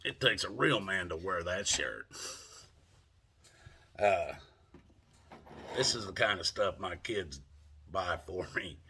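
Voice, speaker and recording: Older Southern US man, vlogger; low, husky, slow, folksy and salty delivery with a tense, bright edge. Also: expressive. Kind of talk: casual monologue to camera.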